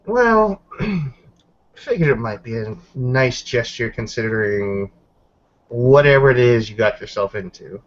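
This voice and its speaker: A careful, inquisitive woman in a tabletop roleplaying story , acted by a man. The delivery is measured and hesitant, at a medium pitch. The audio is dull and muffled. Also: lively intonation. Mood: probing. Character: cautious, questioning woman of the supernatural world.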